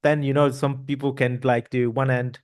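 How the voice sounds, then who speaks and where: A man's voice: medium pitch, flat delivery, moderate pace. A man, hosting a podcast interview in a loose, rambling way with many restarts and fillers. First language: Italian. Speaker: podcast host. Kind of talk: casual interview chat. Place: home room. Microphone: boom mic with headphones, video call.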